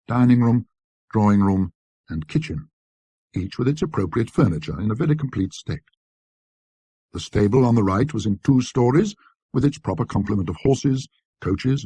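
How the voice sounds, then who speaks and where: A man with a husky, raspy voice narrating a classic literary ghost story in a precise, scholarly, measured manner. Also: on the low side, dark tone, no echo.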